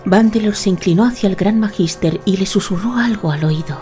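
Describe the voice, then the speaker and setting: A woman narrating a scripted audio drama in a measured, dramatic storytelling manner. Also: mid-range voice, warm tone, faint music behind.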